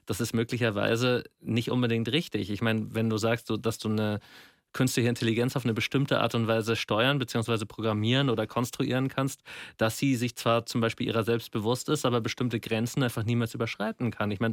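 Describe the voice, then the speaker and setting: A man's voice: fairly low pitch, gravelly, dry recording, studio quality. A man thinking aloud in a casual, reflective discussion, musing in colloquial language.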